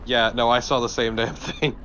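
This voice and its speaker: A man's voice: medium pitch, little pitch movement, moderate pace, fairly bright tone, muffled recording. A man co-commentating on a video game in blunt, casual chat with some swearing. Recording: faint background noise.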